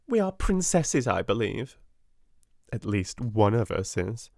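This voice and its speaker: A man's voice: high voice, huge pitch swings, takes it slowly, dry recording, studio quality, pitched above the performer's own voice, exaggerated voice. A man reading a storybook, voicing a spiteful schoolgirl with a bossy, mocking manner. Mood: sneering.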